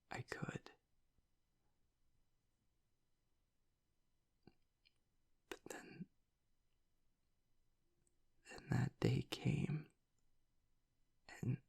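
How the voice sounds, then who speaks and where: A man playing a tough young werewolf pack alpha broken by grief in an intimate audio roleplay, halting and choked up, with a breathy, raspy voice. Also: mid-range voice, darkish tone, exaggerated voice. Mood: sorrowful, halting. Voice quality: breathy, raspy whisper with gravelly breaks. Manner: halting, fragmented, swearing under strain. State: choked up, grieving.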